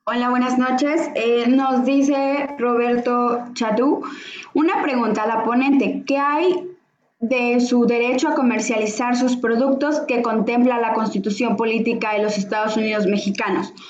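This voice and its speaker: A woman co-moderates an online lecture and reads an audience question in a steady, plain manner. Her voice is fairly high. The audio sounds roomy and dull, with faint noise behind it. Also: unhurried. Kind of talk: reading out a question.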